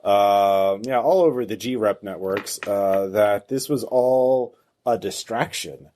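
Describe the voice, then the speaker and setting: A man hosting a tabletop game as game master, narrating off the cuff in a halting, hesitant way. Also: on the low side, dark tone, a little hiss or hum.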